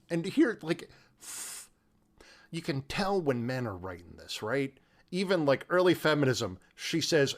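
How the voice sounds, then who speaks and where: A man co-hosting a podcast, chatting casually about film in an animated, halting way full of informal asides. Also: fairly high pitch. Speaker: podcast co-host.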